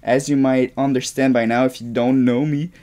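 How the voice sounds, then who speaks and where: A man's voice: medium pitch, little pitch movement, normal speed, warm tone. A man in his early twenties from Quebec with a Québécois French accent, a native French speaker hosting his own podcast in English, rambling casually with restarts and swearing, recorded in his bedroom. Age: 20s. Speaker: podcast host. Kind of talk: unscripted solo podcast monologue. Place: his bedroom in a shared apartment.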